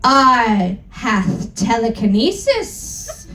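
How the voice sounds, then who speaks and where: Casting: woman as bombastic evil wizard man, archaic drawn-out commands, high-pitched, roomy live stage. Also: big pitch swings, noisy background, full character voice. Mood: menacing. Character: bombastic, menacing male dark wizard villain.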